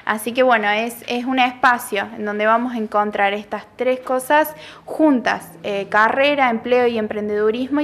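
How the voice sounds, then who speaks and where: A woman's voice: fairly high pitch, a bit bright. A young woman, a youth program coordinator, answering a news interview in an earnest way with many fillers and restarts, into a handheld microphone in an office room. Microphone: handheld reporter microphone.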